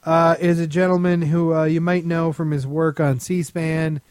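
A man's voice: on the high side, flat delivery, unhurried, a little mellow, clear, resonant tone, no echo, studio quality. An American man giving casual comic commentary in a blunt, mocking manner. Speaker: co-host guest on a comedy radio show.

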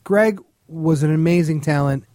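A man's voice: a little high, normal speed, darkish tone, no echo, clean studio sound. A man co-hosting a comedy podcast in casual banter, blunt, opinionated and prone to swearing. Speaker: podcast co-host and stand-up comedian.

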